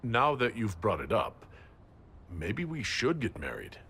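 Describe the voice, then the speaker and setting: An actor playing a courteous, good-humored leader of a fantasy order in a video game, gently teasing and sincere. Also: male voice, fairly low pitch, sing-song, unhurried, breathy edge, heavily acted. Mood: warm, teasing. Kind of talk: video game cutscene acting.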